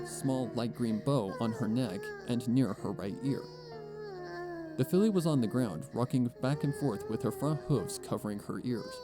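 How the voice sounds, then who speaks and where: A man reads a scripted story as its narrator. His mid-pitched voice moves up and down in a lively, expressive storytelling way. Music plays clearly behind him.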